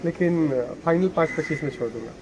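A middle-aged man selling used cars at an outdoor lot, listing features and prices in a brisk, matter-of-fact way. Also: fairly high pitch, background noise. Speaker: used car dealer. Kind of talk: sales pitch, quoting prices.

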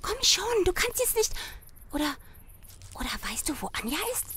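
A woman's voice: high-pitched, very animated, normal speed, fairly bright tone, a little hiss or hum. A woman playing a worried, plucky young girl adventurer in a children's audio drama. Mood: scolding, worried.

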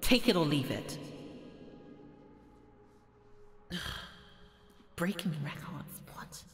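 A haughty, towering goddess, played by a woman, speaks slowly and imperiously in a lowish voice for an audio roleplay. She is menacing and easily exasperated, and her words ring in a long hall reverb. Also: big pitch swings, whispered. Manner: slow, imperious, condescending, with heavy sighs.

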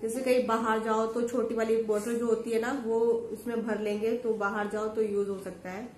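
A woman's voice: fairly high pitch, level tone, normal speed, darkish tone. A woman in her thirties chatting to camera at home, explaining each item she holds up. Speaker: vlogger showing a grocery haul. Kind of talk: casual chat to camera. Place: home living room, indoors. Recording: echoey room with faint ambient noise.

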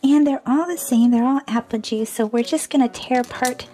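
A gushing, giggly woman with a high voice unboxes toys at a tabletop and calls everything super awesome. She is under the weather and her voice is starting to go. Soft music plays faintly underneath. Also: moderate pace. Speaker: toy unboxing host. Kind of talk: cheerful toy unboxing narration. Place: indoors at a tabletop toy display.

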